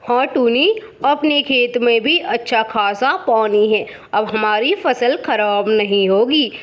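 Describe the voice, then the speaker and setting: Woman voicing a slow-talking, sensible cartoon bird. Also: fairly high pitch. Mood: friendly, reassuring. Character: supportive, sensible grown bird neighbour. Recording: muffled, with music behind.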